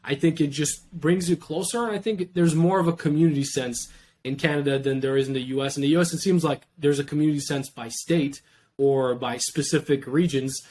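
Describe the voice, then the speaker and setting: A young man from Iran, a podcast guest, talking in a steady, reflective way. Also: fairly high pitch, fairly quick, slight background noise. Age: young adult. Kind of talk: casual interview talk.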